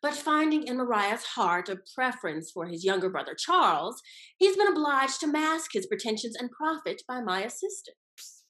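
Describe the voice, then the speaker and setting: A woman acts a haughty lady schemer from a period comedy. Her voice sits high and swoops widely. She speaks with arch, theatrical relish, drawing out her emphasis. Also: very animated, moderate pace, a bit bright, low-quality recording. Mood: scheming, composed. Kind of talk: period stage comedy acting. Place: indoor room, performing on video call. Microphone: video call.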